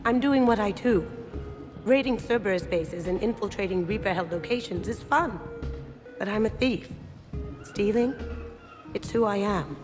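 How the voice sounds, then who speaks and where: An actress playing a cheeky, confident young thief in a video game, with a breezy, defiant manner. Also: female voice, mid-range voice, moderate pace, pauses often, close to an everyday voice. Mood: cocky, playful. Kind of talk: video game dialogue acting. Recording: music behind, long reverb.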